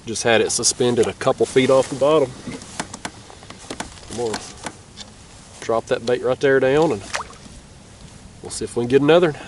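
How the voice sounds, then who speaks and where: A man's voice: mid-range voice, normal speed, pauses often. A young man, nasal from a cold, chatting casually as he narrates a night fishing trip from a kayak on a river. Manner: laid-back, chatty, drawling. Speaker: fishing vlogger. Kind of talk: casual outdoor vlog narration. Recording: distant camera mic outdoors, faint noise.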